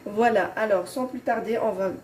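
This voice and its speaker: A woman's voice: mid-range voice, moderate pace, background noise. Belgian woman with a head cold. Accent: Belgian French. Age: 30s. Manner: hesitant, many fillers and restarts. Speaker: budgeting vlogger. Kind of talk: casual chat to viewers.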